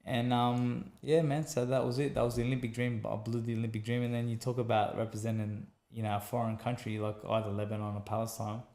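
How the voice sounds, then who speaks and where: An Australian man with a gravelly, mellow voice telling a long personal story as a podcast guest. He talks casually in a flat, even tone, repeats phrases and acts out the people he quotes. The room sounds echoey. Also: medium pitch, quick pace, few pauses. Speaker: guest, former boxer. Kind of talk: conversational storytelling.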